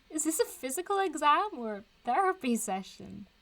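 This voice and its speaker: Chatty, animated young woman. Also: sits high, measured pace, full character voice. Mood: teasing, agreeable. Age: young adult. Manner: chatty, teasing, informal. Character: cheeky, strong-minded young woman, chatty and irreverent. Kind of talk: audio drama acting, vlog-like casual chat.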